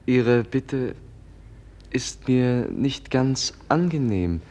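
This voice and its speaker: A man playing a gentle, guileless young prince in a 1950s radio drama, polite, earnest and hesitant. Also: mid-range voice, animated, drawn-out words. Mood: polite, reluctant. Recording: 1950s radio play recording.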